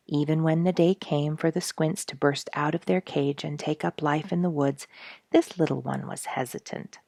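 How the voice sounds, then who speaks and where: A woman reading a story aloud as host, in an expressive scripted storybook style with emphatic, dramatic stresses. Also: low voice, creaky, no room sound, clean studio sound. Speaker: story reader and show host.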